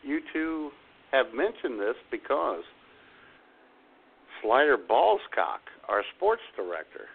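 A man's voice: mid-range voice, big pitch swings, takes it slowly, creaky, pauses often, husky. A man hosting a radio show, chatting casually and introducing segments. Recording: dull lo-fi audio.

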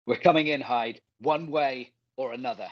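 A man's voice: medium pitch, normal speed, close to an everyday voice. Guy acting stern cop in a radio play, commanding, muffled lo-fi sound. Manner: commanding, declarative. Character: stern, determined police officer at the door. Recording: dull, muffled lo-fi voice.

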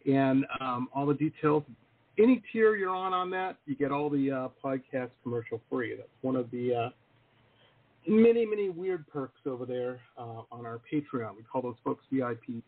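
A man's voice: medium pitch, lively intonation, moderate pace. A man hosting a podcast interview, chatting casually and teasing his guest with jokes. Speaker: podcast host. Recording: tinny phone-like call audio.

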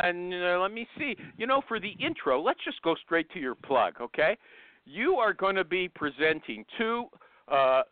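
A man's voice: a little high, no room sound. A man hosting a call-in show, blunt and teasing, who cuts in on his guests. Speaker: show host. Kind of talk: brash casual call-in show. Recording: tinny phone-line audio.

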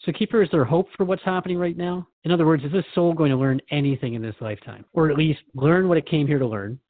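A man's voice: a little high, quick pace, no echo, narrow, tinny audio. A man hosting a reflective spiritual conversation, asking earnest questions as he thinks aloud. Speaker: podcast host questioning a spiritual guide.

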